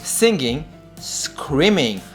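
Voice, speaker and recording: Lively man with an Israeli accent. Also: on the high side, very slow, over loud music. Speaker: co-host of a reaction channel. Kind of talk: casual chat.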